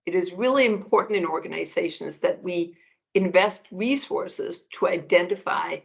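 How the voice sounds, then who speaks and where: Woman, middle-aged, gravelly voice, lo-fi call sound, measured explanations. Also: lowish voice. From Denmark. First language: Danish. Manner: measured, restarts phrases while thinking. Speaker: economics professor, guest. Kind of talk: interview answers, explanatory.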